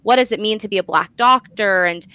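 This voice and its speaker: Clear, bright young woman. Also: medium pitch, fairly quick, dry recording, lo-fi sound. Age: young adult. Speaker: guest, playwright. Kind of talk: interview talk about own creative work.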